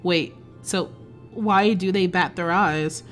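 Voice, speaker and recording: Brief: a woman doing a cocky young fairy guy, low and amused, smug drawl over faint game music. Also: slow. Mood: smug teasing. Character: teasing, smirking young male fairy with wings.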